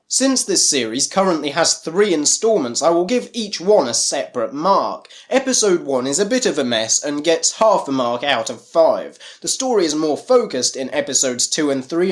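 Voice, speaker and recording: A man narrating a scripted review in a critical, dryly sarcastic manner. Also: a little high.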